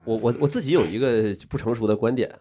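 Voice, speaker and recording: A man's voice: mid-range voice, fast talker, mellow, a little hiss or hum. A man co-hosting a podcast, wry and laughing often as he makes his points. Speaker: podcast co-host. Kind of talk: casual chat.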